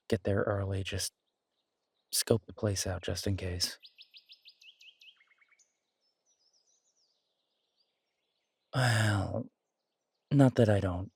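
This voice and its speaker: Man acting as a husky, confident werewolf boyfriend. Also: low-pitched, no echo, full character voice. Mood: casual, reassuring. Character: confident protective male alpha werewolf boyfriend, teasing and reassuring. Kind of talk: intimate roleplay audio drama.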